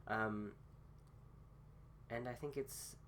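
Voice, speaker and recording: A man at middling pitch talks slowly through a casual philosophy talk, his voice a bit rough from a cold he is getting over. He hesitates often, filling gaps with ums and starting phrases twice. A faint hum sits behind him. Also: steady tone, a bit bright. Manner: slow, hesitant, many fillers and restarts. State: recovering from a cold. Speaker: host.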